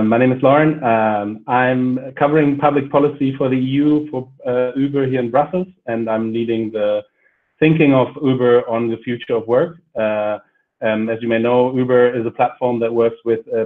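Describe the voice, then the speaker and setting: A man introducing himself on an online panel over a video call, steady and measured, with frequent "uh" fillers. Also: medium pitch. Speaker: panelist, public policy lead. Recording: tinny phone-like call line.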